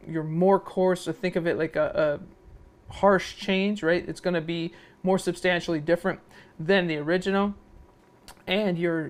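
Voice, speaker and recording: A man's voice: sits high, clear, resonant tone. A man narrating an informal video editing tutorial, explaining step by step and often checking in with a short questioning tag. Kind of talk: software tutorial screencast narration.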